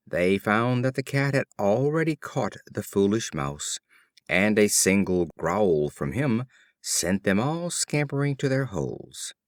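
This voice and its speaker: A man reads fables as an audiobook narrator, measured and expressive. His lowish voice swings widely in pitch, and the recording is dry and close. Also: no echo.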